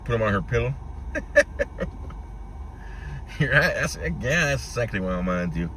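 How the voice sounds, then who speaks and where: A man's voice: lowish voice, animated, normal speed, bright, forceful tone, a little hiss or hum. A man hosting a live stream, rambling in easygoing casual chat and talking to viewers by name.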